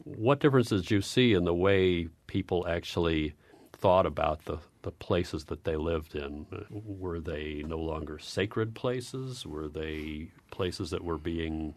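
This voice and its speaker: A man's voice: low voice, slow, no echo. A man interviewing a guest, asking long, winding questions.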